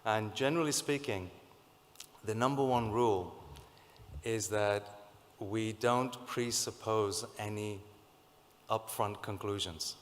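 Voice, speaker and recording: A man with a sore throat giving a technical conference presentation, speaking in a halting way with many fillers and restarts. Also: fairly low pitch. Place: conference hall. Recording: reverberant hall.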